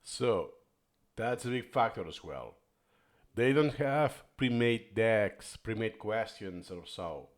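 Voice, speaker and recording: A man whose first language is Catalan talks through a video in a voice-over, and his pitch rises and falls a lot. He thinks aloud and digresses. He often corrects himself mid-sentence. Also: animated, slow pace. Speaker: video host reviewing footage.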